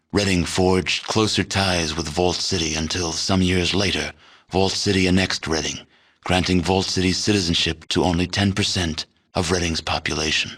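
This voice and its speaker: A man narrating a video game as a grave, detached chronicler of a post-apocalyptic wasteland, in measured, matter-of-fact storytelling. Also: low-pitched, fairly bright tone. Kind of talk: scripted game ending narration.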